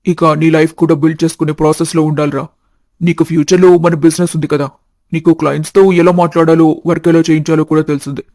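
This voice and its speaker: Man as a genial father, evenly pitched. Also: level tone, quick pace, darkish tone, no room sound, natural voice. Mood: persuasive, fatherly. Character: genial, practical middle-aged father arranging a match. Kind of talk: animated moral story dialogue.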